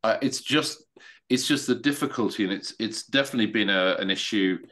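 Man on a video call, lowish voice, stammering restarts, firm opinions. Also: a little fast, a bit bright. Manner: hesitant, many restarts, opinionated. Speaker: meetup participant. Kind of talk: casual video call discussion.

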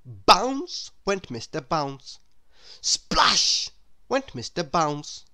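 A woman reading a children's story aloud in an expressive, deliberate way. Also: fairly low pitch. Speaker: storybook reader. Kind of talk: scripted children's story narration.

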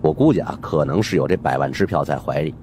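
A man's voice: extremely low pitch, animated, quick pace, darkish tone, slight background noise. A man narrating an audiobook as dramatic, scripted storytelling.

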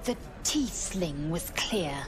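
A woman's voice: lowish voice, animated, slow pace. A woman voicing a haughty, fierce alien warrior in a video game, speaking in clipped, imperious, formal phrases. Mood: stern, formal.

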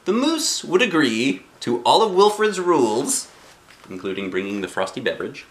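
A middle-aged man reads a story aloud with a widely swinging, animated delivery. He is playful and expressive, stepping out of the text for chatty asides and questions, as a dad does with a child. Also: mid-range voice, moderate pace, rough recording. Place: small living room indoors. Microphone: camera a short distance away.

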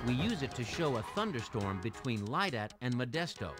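Lively American male educational narrator. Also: mid-range voice, over music. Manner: clear, explanatory, measured phrasing. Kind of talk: scripted educational video narration.

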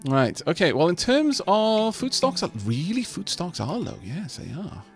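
Welsh-native male let's-player, chatty and expressive, speaks to his settlers, soft music underneath. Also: high voice, measured pace. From Wales. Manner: chatty, talks to his settlers, self-deprecating. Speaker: let's play host. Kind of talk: casual gameplay commentary.

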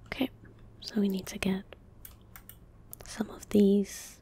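A young woman gives casual ASMR commentary while gaming, very close to the mic. Her voice is breathy and whispered, with a dark, relaxed tone. She speaks gently and slowly, with little rise or fall in pitch. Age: young adult. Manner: calm, gentle, unhurried. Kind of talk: soft-spoken ASMR, casual gameplay commentary. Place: small indoor room at a desk. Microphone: close desk microphone.

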